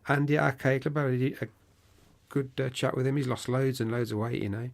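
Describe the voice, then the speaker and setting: A man's voice: medium pitch, steady tone, fast talker, creaky. A British man co-hosting a podcast, talking casually and rambling with lots of restarts, his voice a little worn from talking. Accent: British English. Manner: chatty, rambling with frequent restarts. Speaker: podcast co-host. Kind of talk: casual chat.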